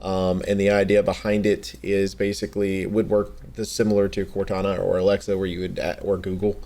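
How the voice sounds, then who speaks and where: A man with a fairly low voice hosts a casual gaming news podcast. His delivery is unscripted and a little hesitant, wandering into asides as he explains things. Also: monotone, brisk, mellow. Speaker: podcast host.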